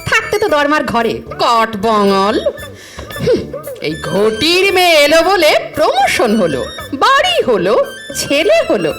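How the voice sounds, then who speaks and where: A woman's voice: high-pitched, wildly swooping pitch, unhurried, heavily acted. A woman playing a proud, long-married middle-aged wife with a Bengali accent, nagging and reproachful in an audio drama. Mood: bossy scolding. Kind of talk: audio drama acting, domestic comedy. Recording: music under the voice.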